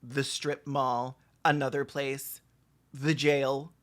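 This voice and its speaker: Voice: mid-range voice, level tone, slow. A person giving bawdy, sarcastic comedic commentary as the host of a reaction video, in a campy manner. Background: background music.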